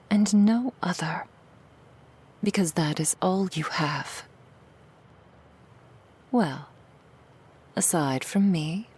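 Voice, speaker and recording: Breathy whisper, low-pitched female demon acted by a woman, very slow delivery, seductive, coaxing, insidiously soothing, intimate ASMR roleplay. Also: darkish tone, heavily acted. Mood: coaxing, assured.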